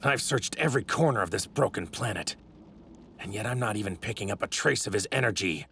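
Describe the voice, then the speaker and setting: A sadistic, god-like anime villain who relishes his own superiority. His gravelly voice is sharp and forceful, swooping theatrically as he savors each haughty word. Faint noise sits in the ripped dub audio. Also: on the low side, lively intonation, brisk. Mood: brooding, puzzled. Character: arrogant, sadistic god-like villain in a stolen warrior's body. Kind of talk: English anime dub acting.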